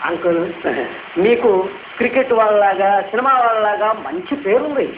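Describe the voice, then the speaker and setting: A man playing a breezy, flattering son-in-law in a radio comedy drama, chatty, insistent and ingratiating. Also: high voice, normal speed, close to an everyday voice. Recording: echoey, narrow-band, noisy old radio recording.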